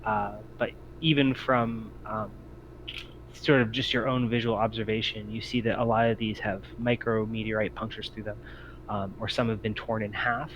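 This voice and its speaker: Guy running a tabletop rpg, lowish voice, feeling his way through descriptions, bit of hiss. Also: level tone, moderate pace, fairly bright tone. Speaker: game master. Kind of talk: improvised tabletop game narration. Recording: muffled, faintly noisy home mic.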